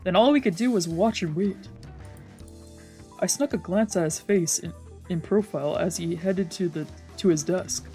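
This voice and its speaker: A woman's voice: on the low side, moderate pace, dark tone. A woman streamer reading scripted first-person visual novel narration aloud. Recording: music behind the voice.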